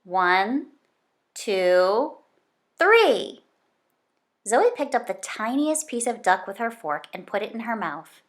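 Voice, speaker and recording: A woman reading a children's book aloud in an expressive storytelling style. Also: fairly high pitch, moderate pace, few pauses. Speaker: storybook reader.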